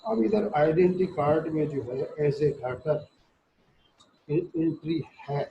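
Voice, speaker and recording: A man's voice: on the high side, expressive, slow pace, slight background noise. A man with a Pakistani accent giving an explanatory lecture, reading passages out and explaining them, switching between Urdu and English. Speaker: law lecturer. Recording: dull, lo-fi muffled sound.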